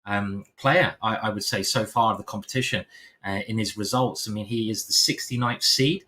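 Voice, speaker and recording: Bright-voiced English man commentating chess. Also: fairly low pitch, moderate pace. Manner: playful, chatty, many fillers and restarts.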